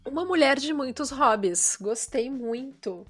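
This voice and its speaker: A woman's voice: sits high, expressive, a little slow, a bit bright. A woman with a Brazilian accent hosting a podcast in a chatty, informal, enthusiastic way. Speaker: podcast host. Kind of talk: upbeat podcast presenting and interviewing.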